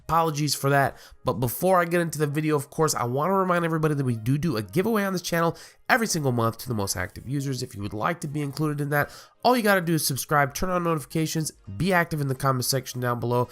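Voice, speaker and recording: A man's voice: a little high, expressive, rapid-fire, a bit bright, soft background music. A man hosting a casual gaming commentary video, sounding nasal from a cold and rambling with lots of fillers and restarts. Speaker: gaming YouTuber host.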